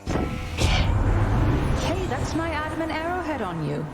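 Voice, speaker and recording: An actress playing a cool, confident huntress goddess in a video game, plain-spoken and self-assured. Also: female voice, sits high, big pitch swings, slow, loud music behind the voice, heavily acted.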